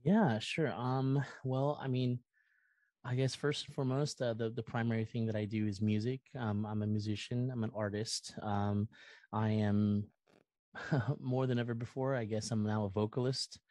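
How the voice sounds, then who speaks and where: A man's voice: medium pitch, fairly even intonation, normal speed, darkish tone, dry recording. A middle-aged American man speaking casually as an interview guest, rambling earnestly and effusively about himself. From California, United States. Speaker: podcast guest, musician and content creator. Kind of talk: casual, personal interview talk.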